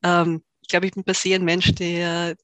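A woman's voice: lowish voice, flat delivery, normal speed, fairly bright tone, smooth, no room sound. A woman from Tyrol, a startup founder talking as a podcast guest, thoughtful, with many fillers and restarts. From Tyrol, Austria. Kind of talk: podcast interview.

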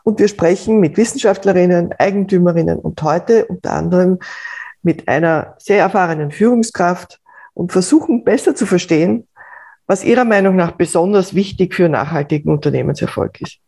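A woman interviews a guest in a measured, formal tone. Her voice is dark and mellow, with a slightly husky edge. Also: fairly low pitch. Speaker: podcast host.

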